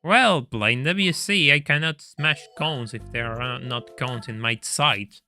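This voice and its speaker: Streamer, young man, bright forward voice, chatty and stammering, faint game music behind. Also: mid-range voice, slow pace. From Argentina. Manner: chatty, laughs often, stammers and repeats words. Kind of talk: casual live-stream chat and gameplay commentary.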